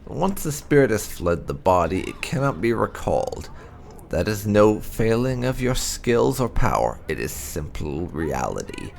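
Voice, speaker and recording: Expressive low-voiced man with gravelly creak. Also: measured pace, a little hiss or hum. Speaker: host of a game playthrough channel. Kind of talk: read-aloud narration of game lore.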